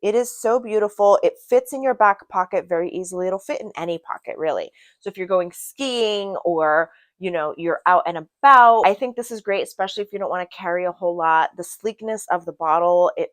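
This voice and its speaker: A woman's voice: lowish voice, fairly quick, few pauses, no room sound. A woman from Brazil giving a casual product review to camera in a chatty, emphatic way, repeating words for emphasis. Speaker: beauty product reviewer and vlogger.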